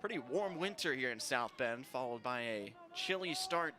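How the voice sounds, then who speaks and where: A man's voice: mid-range voice, expressive, normal speed, music in the background. A man doing live play-by-play commentary of a baseball game as a sports announcer. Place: ballpark, live broadcast. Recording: noisy outdoor stadium ambience.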